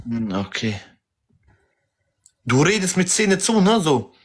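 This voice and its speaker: A man's voice: on the high side, big pitch swings, slow. A twenty-three-year-old man hosting a live stream, chatty and teasing, turning aside to talk to his viewers. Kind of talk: casual live stream chat.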